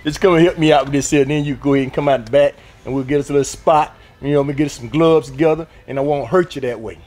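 A man's voice: fairly high pitch, fast, a little mellow. An older man bantering casually with unfazed, playful bravado. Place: large hardware store aisle.

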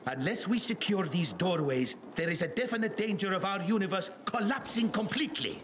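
A man's voice: high-pitched, animated, a little fast, a bit bright. A man voicing a pompous, impatient middle-aged scientist in a video game, speaking in a grandiose, theatrical way. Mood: grave, lecturing. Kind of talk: video game cutscene acting. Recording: clearly noisy game ambience behind.

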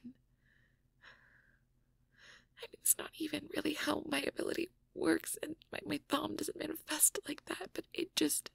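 A woman playing a shy, anxious young mage girlfriend in an intimate audio roleplay, hesitant and stammering in a breathy whisper. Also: high-pitched, level tone, normal speed, long pauses, no room sound. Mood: self-conscious, vulnerable. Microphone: close microphone, dry.

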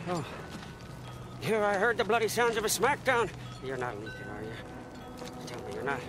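A man's voice: high voice, very animated, normal speed, loud music behind the voice. A man voicing a manic, devout mechanic sidekick in a video game, fawning and repeating himself with feverish preacher-like zeal. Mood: anxious, eager. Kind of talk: video game character acting.

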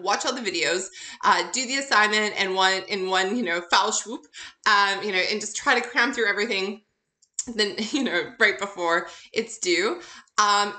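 Bright-voiced woman lecturing in a roomy space. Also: medium pitch. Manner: conversational, poses questions and answers them. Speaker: university accounting instructor. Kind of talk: informal recorded lecture, explanatory.

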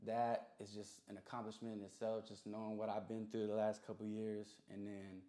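A young American man speaks in a fairly low voice, chatting casually as a guest. His talk is slangy and sweary, peppered with check-in phrases, and the small room echoes around him. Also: level tone, moderate pace, dark tone. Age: young adult. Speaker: rapper, interview guest. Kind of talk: casual interview chat. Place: small indoor recording studio.

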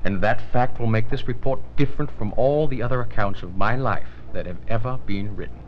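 An actor playing the kindly, thoughtful ghost of an old statesman in an educational film, measured and gently instructive. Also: on the low side, background noise, lightly acted. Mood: patient, encouraging. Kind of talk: 1980s educational film acting. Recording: dull 1980s 16mm film soundtrack, music behind.

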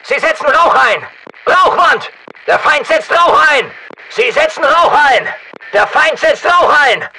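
An actor playing a battle-hardened special forces soldier in a shooter game, giving clipped, commanding callouts over military radio. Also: male voice, very high voice, lively intonation, takes it slowly, sharp tone, a little hiss or hum, heavily acted, rough recording. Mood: urgent.

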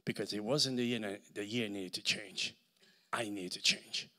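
A middle-aged man with a Puerto Rican accent preaching a sermon with a handheld mic in a large church hall, swinging between shouts and near-whispers. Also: fairly low pitch, fairly even intonation, unhurried. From Puerto Rico. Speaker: guest preacher.

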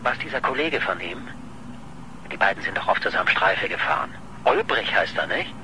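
An actor in a radio crime drama playing a pushy, sardonic newspaper reporter who needles insistently. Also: male voice, low voice, expressive, normal speed, background noise. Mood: theorizing, insistent.

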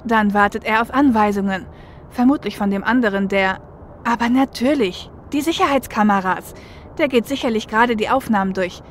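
A woman's voice: on the high side, normal speed, close to an everyday voice. A woman playing an analytical, level-headed shadowrunner and getaway driver in a fan audio drama. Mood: focused planning. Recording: faint car and traffic noise.

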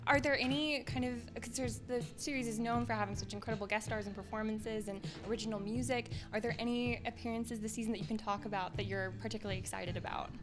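A woman's voice: fairly high pitch, steady tone, fast talker, few pauses, music in the background. A woman interviewer asking prepared questions in an upbeat, eager way at an indoor press event, speaking into a handheld mic.

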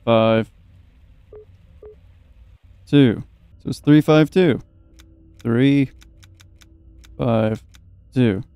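A man's voice: medium pitch, very slow, pauses often, mellow, smooth, no room sound, lo-fi sound. A young man giving casual let's play commentary, joking and swearing and at times reading game text aloud. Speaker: gaming video host. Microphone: close, dry microphone.

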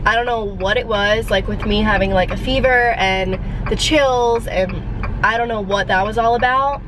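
A woman's voice: mid-range voice, normal speed. Congested young woman chatting in a car. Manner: chatty, rambling, lots of "like". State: congested, stuffy nose. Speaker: vlogger. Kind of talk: casual chat to camera. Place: parked car, indoors of vehicle. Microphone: dashboard camera facing her. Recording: loud car and road noise.

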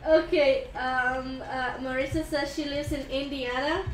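High-voiced young woman, unhurried stream co-host. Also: steady tone, slight background noise. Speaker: live stream co-host reading out viewer comments. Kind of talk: casual chat. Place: indoor room, live stream. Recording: roomy sound, loud music behind. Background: music.

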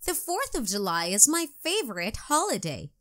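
A woman voicing a cheerful, excitable little girl in a children's storybook, animated and exclaiming. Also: on the high side, normal speed. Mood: cheerful, eager.

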